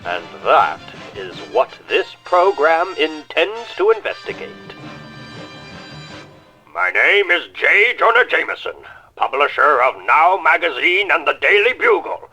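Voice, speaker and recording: A man's voice: fairly high pitch, big pitch swings, measured pace, pushed and bright, soft background music, exaggerated voice, poor audio. A man playing a blustering, self-righteous newspaper publisher, declaiming indignantly like a newsreel broadcaster. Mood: ominous. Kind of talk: newsreel-style broadcast announcement in a comic adaptation.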